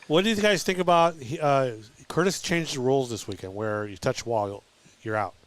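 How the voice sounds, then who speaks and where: A man's voice: medium pitch, moderate pace. A man on a live hobby talk show, congested and tired, chatting casually and putting questions to the panel.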